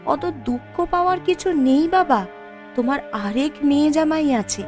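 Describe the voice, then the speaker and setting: A woman's voice: high-pitched, unhurried, darkish tone, soft background music. A woman voicing a proud, outspoken poor young wife in a moral-tale cartoon, with emphatic, dramatic delivery. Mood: hurt, firm.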